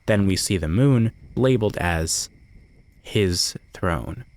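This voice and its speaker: A man's voice: deep voice, expressive, slow pace, darkish tone. A man delivering scripted, analytical video essay narration in a conversational way, with casual asides. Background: music.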